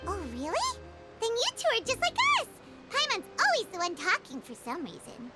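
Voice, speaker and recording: A tiny, chatty game fairy with an extremely high, childlike squeak. The delivery is perky and exclamatory, swooping wildly in pitch, quick to sound miffed. Music plays prominently behind. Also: female voice, wildly swooping pitch, exaggerated voice. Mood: cheerful, curious. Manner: speaks of self in third person, exclamatory. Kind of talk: video game character acting.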